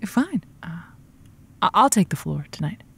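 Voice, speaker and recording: A woman's voice: mid-range voice, very animated, normal speed, vocal fry, fairly bright tone, a little hiss or hum. A woman playing a teasing, flirty late-night radio host in an audio drama, prickly when off the air. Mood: exasperated.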